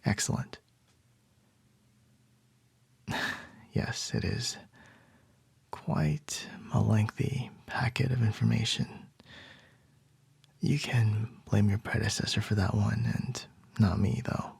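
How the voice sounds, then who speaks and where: A man playing a husky, whispering CEO boss. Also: lowish voice, fairly even intonation, no room sound, heavily acted. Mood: soothing. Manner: soft, slow, reassuring, lightly teasing. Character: calm, charming male CEO boss. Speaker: ASMR roleplay performer. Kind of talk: ASMR roleplay.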